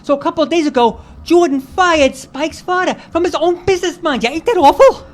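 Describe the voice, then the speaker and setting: A woman's voice: extremely high pitch, a little fast, faint music behind. A woman playing a gossipy, earnest young newsboy with slangy street talk in a live old-time radio drama. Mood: confiding, indignant. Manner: streetwise slangy, confiding, rambling. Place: live performance in a hall. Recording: live stage recording with background noise.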